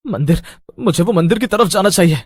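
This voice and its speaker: A man acts as a bewildered traveller in a cartoon horror tale. He is scared and hesitant, tripping on words, with a very lively pitch in a dry recording. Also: a little fast, slightly rough, no room sound. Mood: uneasy. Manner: anxious, hesitant, stammering. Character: frightened, bewildered male traveller lost at night. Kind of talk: Hindi animated horror story acting.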